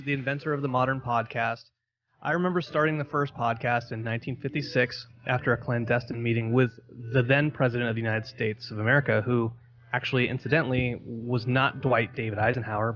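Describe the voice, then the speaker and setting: A man from Southern California, a podcast guest speaking in playful, deadpan comic banter. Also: medium pitch, a little fast. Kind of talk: comic banter with tongue-in-cheek tall tales. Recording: slightly dull, lo-fi audio.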